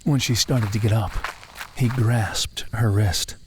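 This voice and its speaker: A man's voice: fairly low pitch, fairly even intonation, takes it slowly, background noise. A man reading scripted story narration as the narrator.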